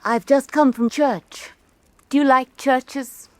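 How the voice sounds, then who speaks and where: A woman's voice: sits high, fairly even intonation, slow, dry recording. An actress playing an elegant, insinuating woman art critic of Renaissance Venice, arch and mannered, in a theatrical radio drama. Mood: insinuating, sensual. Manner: arch, mannered, lingering, condescending.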